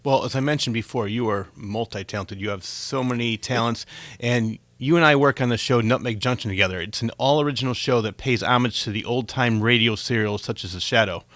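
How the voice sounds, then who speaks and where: A man's voice: mid-range voice, brisk, a bit bright, dry recording. A man hosting an interview show, giving scripted introductions and asking conversational questions.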